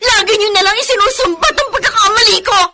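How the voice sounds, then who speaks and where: A woman's voice: extremely high pitch, fast talker, sharp tone, full character voice. A woman with a Filipino accent playing a long-suffering young single mother, earnest and emotional, in a radio drama. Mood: anguished, tearful.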